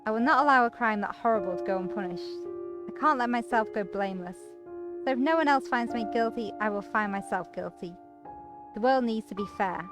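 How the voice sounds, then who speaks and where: A woman's voice: fairly high pitch, brisk, lightly acted. A woman voicing a guilt-ridden, earnest man while reading a visual novel aloud. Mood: bitter, guilty. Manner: earnest, self-blaming. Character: guilt-ridden, brooding man with injured leg. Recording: music behind the voice.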